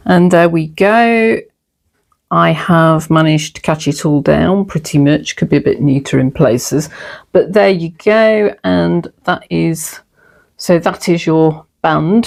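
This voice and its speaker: A woman's voice: low-pitched, vocal fry, a little mellow. A middle-aged woman in her home sewing room, chatting casually through a sewing tip, a little throaty from a cold, with plenty of ums. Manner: chatty, self-deprecating, frequent ums. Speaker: sewing vlogger. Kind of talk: casual sewing tutorial and chat.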